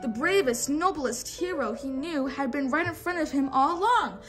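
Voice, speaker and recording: A woman's voice: high voice, expressive, normal speed, a bit bright, soft background music. A woman hosting a children's read-along, reading a storybook aloud in a teacherly way and inviting listeners to repeat words.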